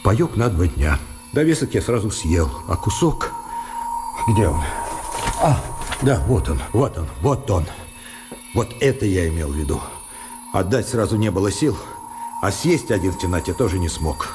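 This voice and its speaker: A man's voice: low voice, sing-song, moderate pace, pauses often, noisy background. An actor playing an educated, ashamed man who confesses earnestly and insistently in a radio drama. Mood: confessing. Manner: earnest, insistent, repeating himself. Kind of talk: Russian radio drama acting.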